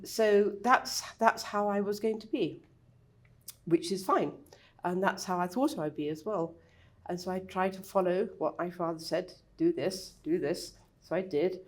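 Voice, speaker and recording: An older woman with a southern English accent speaks in a lowish, relaxed voice. She tells her story as a podcast guest, matter-of-fact and easy, with quick yeps and small restarts. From England. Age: 60s. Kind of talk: casual interview.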